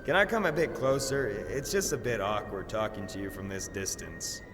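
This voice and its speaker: An actor playing a cocky, crude young warrior in a fantasy audio drama, talking in a flippant, boastful, casual way. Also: male voice, on the low side, very animated, normal speed, background noise. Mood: casual, teasing. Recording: long reverb, music underneath.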